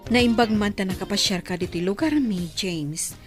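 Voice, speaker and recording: A woman playing a soft-hearted, respectful younger woman in an Ilocano audio drama, with an Ilocano accent. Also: mid-range voice, normal speed, music in the background, lightly acted. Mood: shy, friendly. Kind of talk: radio drama acting.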